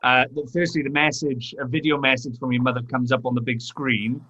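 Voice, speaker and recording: A man's voice: medium pitch, little pitch movement, brisk, sharp tone, breathy edge. Welsh man hosting, dull audio. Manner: chatty, leading questions, tells anecdotes. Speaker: podcast host. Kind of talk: casual podcast interview.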